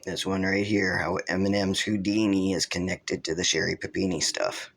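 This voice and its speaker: A man's voice: deep voice, little pitch movement, moderate pace, creaky. A man hosting his own video channel, giving rambling, accusatory unscripted commentary. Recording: loud vehicle and background noise.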